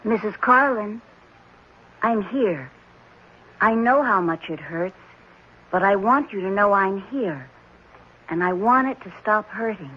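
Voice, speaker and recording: A woman playing an earnest, softly insistent young orphan boy in radio drama, speaking in simple, deliberate childlike phrases. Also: medium pitch, expressive, husky. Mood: intense, insistent. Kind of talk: 1970s radio drama acting. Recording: muffled, noisy 1970s radio recording.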